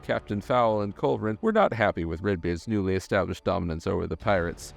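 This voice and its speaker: A man reading scripted documentary-style narration in a measured, articulate storytelling manner. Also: fairly low pitch, gravelly. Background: background music.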